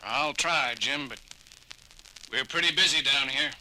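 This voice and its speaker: A man's voice: medium pitch, measured pace, bright, forceful tone, poor audio. An actor playing a brusque, busy male starship doctor over an intercom in a 1970s audio drama. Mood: harried.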